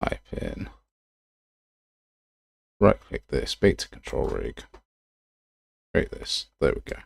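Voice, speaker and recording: Low-voiced man muttering casually, gravelly edge. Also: lively intonation, slow pace, no room sound. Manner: muttering to himself while working. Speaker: game development livestreamer. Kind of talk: casual live tutorial commentary.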